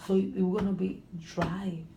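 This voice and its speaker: A middle-aged woman from Honduras with a Spanish accent, speaking English as a second language in casual conversation, halting and often searching for words. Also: fairly low pitch, flat delivery, creaky, warm tone. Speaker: interviewee. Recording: echoey room with faint noise.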